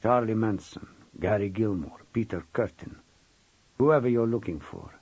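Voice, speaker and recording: Man voicing a slow, unnervingly calm older psychic woman. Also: medium pitch, slightly rough, dry recording, highs cut off. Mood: quietly menacing. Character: gaunt Romanian woman psychic, older than she looks, relentless, knowing.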